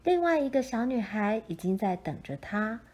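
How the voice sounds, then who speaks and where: A woman narrating a children's picture book in a gentle, measured storytelling style. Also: fairly high pitch, very animated, husky.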